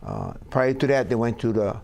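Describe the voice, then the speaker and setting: A man from Hawaii, talking in a casual studio chat and pausing often on "uh" in a plain, pidgin-tinged way. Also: mid-range voice, huge pitch swings, vocal fry, a little mellow. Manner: halting, many "uh" fillers, plain pidgin-tinged phrasing. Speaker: foundation founder, co-host.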